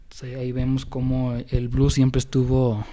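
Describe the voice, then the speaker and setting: Mellow-voiced Mexican man chatting loosely. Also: medium pitch, monotone, moderate pace, slight background noise. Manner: rambling, many fillers and restarts. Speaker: podcast host. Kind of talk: casual music history chat.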